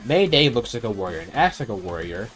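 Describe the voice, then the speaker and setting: A man's voice: lowish voice, very animated, fairly quick, fairly bright tone, faint music behind. A man hosting a video game review, giving opinionated commentary in a blunt, casual, sweary way.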